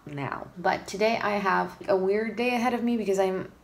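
Tired young woman with a gravelly creak. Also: mid-range voice, moderate pace. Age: 20s. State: tired, groggy. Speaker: vlogger. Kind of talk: casual chat to camera. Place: small room at home, indoors. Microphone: phone or camera at arm's length.

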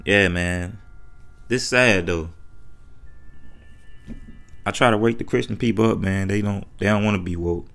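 A man's voice: on the low side, measured pace, creaky, pauses often, a bit bright. A man with an American accent giving an unscripted, opinionated commentary in colloquial, repetitive speech with emphatic rhetorical questions. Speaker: online commentator.

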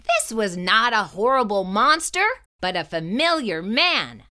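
A woman's voice: medium pitch, very animated, measured pace, bright, forceful tone. A woman reading a children's story aloud in a suspenseful, theatrical and emphatic way. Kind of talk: dramatic read-aloud narration.

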